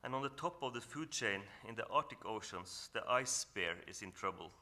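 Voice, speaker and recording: A man from northern Norway with a Norwegian accent gives an earnest, reflective talk on a panel, often pausing and restarting as he searches for English words. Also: fairly low pitch, expressive, pushed and bright. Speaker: panel speaker. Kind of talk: reflective presentation at a symposium.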